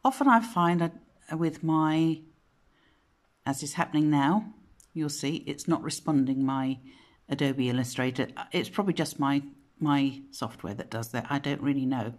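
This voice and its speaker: Low-voiced chatty woman, croaky today. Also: a little slow. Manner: chatty, rambling, with frequent self-corrections. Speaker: craft channel host. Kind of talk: casual screen-recorded software tutorial. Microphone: phone camera pointed at a monitor.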